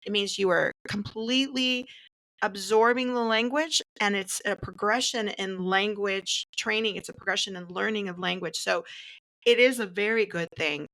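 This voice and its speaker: A woman hosting a podcast, talking casually and explaining things in a measured, instructive way. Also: medium pitch, a bit bright, low-quality recording. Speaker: podcast host.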